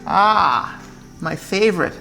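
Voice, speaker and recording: Playful husband in a kitchen chat. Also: male voice, sits high, lively intonation, slow, gravelly, sharp tone, soft background music. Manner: playful, teasing, laid-back. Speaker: host's husband joining the video. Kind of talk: casual family chat. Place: home kitchen.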